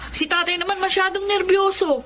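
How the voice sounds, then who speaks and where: A bubbly, boastful younger sister in a radio drama. Her voice is very high and sharply bright, brash and playful, over faint noise. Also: fairly even intonation, fairly quick. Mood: boastful, teasing. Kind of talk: Filipino radio drama acting.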